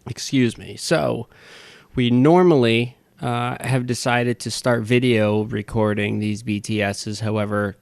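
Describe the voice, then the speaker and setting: A man's voice: medium pitch, steady tone, unhurried, gravelly, darkish tone, no room sound, clean studio sound. A man hosting a podcast, congested and a little nasal from a cold, chatting casually and laughing often. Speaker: podcast host. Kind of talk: casual chat.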